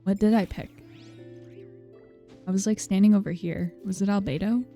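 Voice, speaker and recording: A woman's voice: mid-range voice, fairly even intonation, moderate pace, mellow. A woman giving casual, playful commentary on a game playthrough, muttering to herself as she goes. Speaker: game streamer. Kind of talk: casual playthrough commentary, sometimes reading game lines aloud. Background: game music.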